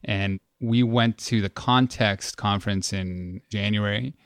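A man's voice: lowish voice, steady tone, a little slow, clean tone, dry recording, clean studio sound. A man from Peru, a podcast guest, telling stories with enthusiasm in casual conversation. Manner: enthusiastic storyteller. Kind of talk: casual interview chat.

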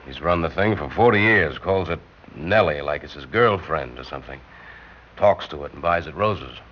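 An actor playing a kind, flustered building superintendent in a classic radio drama, hesitant and pleading. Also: male voice, rumbling low, animated, normal speed, husky, exaggerated voice. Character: soft-hearted, flustered male building superintendent. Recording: dull old radio recording.

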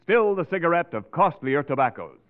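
A man's voice: on the high side, big pitch swings, brisk. An American man announcing in an emphatic, polished, declamatory 1940s radio commercial style. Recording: tinny old radio recording with music.

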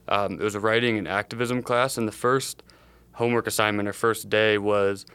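A young man from the Chicago area speaks in a low, level voice, strikingly clean and resonant, recorded close and dry. He answers like a startup founder in a casual interview, thinking aloud in a meandering way. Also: steady tone, brisk, fairly bright tone, clear, resonant tone, dry recording. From Chicago area, USA. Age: young adult. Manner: thoughtful, meandering phrasing.

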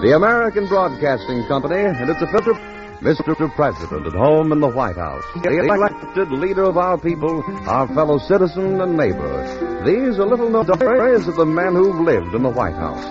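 A man's voice: on the high side, wildly swooping pitch, moderate pace, dull audio, music in the background. A man announcing and narrating a drama in formal 1940s radio style.

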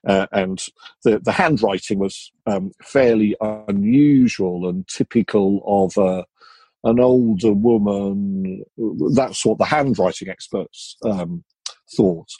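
Hesitant, husky low-voiced man. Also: slow pace, warm tone, no echo. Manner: hesitant, many fillers. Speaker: author, interview guest. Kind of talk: conversational explanation.

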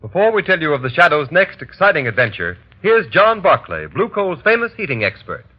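A man's voice: on the high side, very animated, fairly quick, husky, soft background music. A male announcer reading a script in emphatic, formal 1930s radio style. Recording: muffled old radio broadcast.

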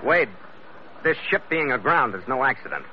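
A man's voice: mid-range voice, expressive, moderate pace, close to an everyday voice. An actor playing a tough, decisive sea captain in a classic radio drama, clipped and hard-boiled as he acts and narrates. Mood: businesslike. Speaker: actor in the lead role. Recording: dull, noisy old radio recording.

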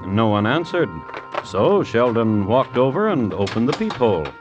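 A man's voice: medium pitch, sing-song, moderate pace, dull audio, music in the background. A man giving grave, suspenseful scripted narration for an old-time radio drama.

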